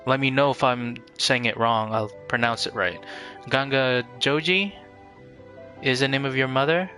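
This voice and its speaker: A man hosting a live game stream, chatting casually and politely with viewers in a halting way, searching for words. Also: medium pitch, sharp tone, soft background music.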